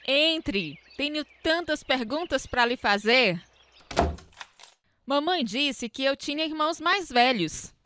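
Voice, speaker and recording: High-voiced long-lost younger sister. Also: female voice, animated, slow, sharp tone, a little hiss or hum, heavily acted. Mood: eager surprise. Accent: Brazilian. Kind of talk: radio drama acting.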